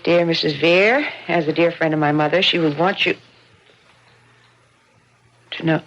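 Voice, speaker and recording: An actress playing an anxious, insistent middle-aged housewife in an old radio drama, protesting with emotion. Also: female voice, sits low, fairly even intonation, measured pace, fairly bright tone, dull audio, a little hiss or hum. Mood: uneasy. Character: middle-class housewife, anxious and insistent about an eerie visit.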